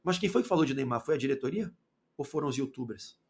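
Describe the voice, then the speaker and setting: A man from Salvador with a Bahian accent giving an opinionated football commentary monologue, firing rhetorical questions and swinging between loud and low. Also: expressive, quick pace, vocal fry. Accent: Bahian Portuguese. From Salvador, Bahia, Brazil.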